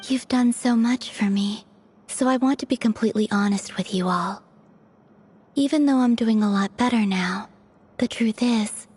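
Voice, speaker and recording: An actress playing a gentle, frail young woman whose strength is fading, speaking softly and earnestly in game dialogue. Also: mid-range voice, husky, close to an everyday voice.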